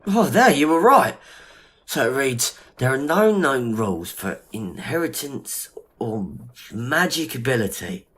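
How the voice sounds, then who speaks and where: A man's voice: medium pitch, big pitch swings, measured pace, fairly bright tone, breathy edge, heavily acted. A man acting a chatty, jittery, squeamish sidekick in an audio drama. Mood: chatty, bemused.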